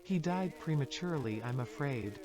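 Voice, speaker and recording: An actor playing a wounded, intense interviewee recounting a traumatic childhood in a scripted analog horror piece. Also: male voice, fairly high pitch, lively intonation, normal speed, darkish tone, natural voice. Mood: wistful. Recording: music under the voice. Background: music.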